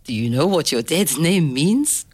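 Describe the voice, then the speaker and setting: An older woman telling a family story to a child, slow and expressive, pausing to ask gentle questions. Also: low voice, breathy edge. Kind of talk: oral history storytelling to a child.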